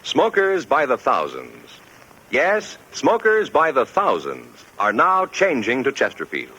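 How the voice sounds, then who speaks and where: A man's voice: medium pitch, huge pitch swings, slow pace, bright, forceful tone, slightly rough, poor audio. A man announcing a 1950s radio commercial in an emphatic, declamatory style. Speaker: cigarette advertisement announcer.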